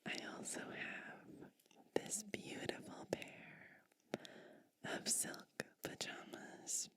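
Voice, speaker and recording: A woman whispering a soothing ASMR roleplay as a gentle, whimsical sleep witch, speaking breathily and deliberately with pauses between short phrases. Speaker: ASMR performer playing a bedtime witch.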